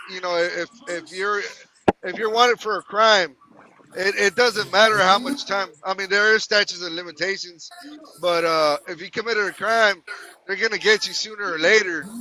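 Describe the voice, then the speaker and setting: A man calling in to a talk show, speaking haltingly with many hesitations. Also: sits high, bright, forceful tone, low-quality recording. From United States. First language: Spanish. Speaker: caller. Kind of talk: casual call-in chat.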